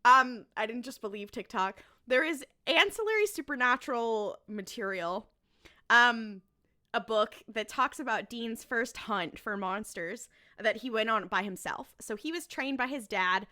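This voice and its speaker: A woman's voice: fairly high pitch, animated, a little slow, pushed and bright. A woman on a podcast panel chatting casually as a fan making a case, emphatic and repeating phrases for effect.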